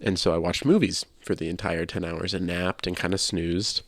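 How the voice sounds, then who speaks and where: A man with a creaky voice co-hosting a casual video game podcast, chatting conversationally. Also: steady tone, normal speed, no echo. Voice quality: low creaky voice. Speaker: podcast co-host. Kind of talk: casual podcast chat about video games.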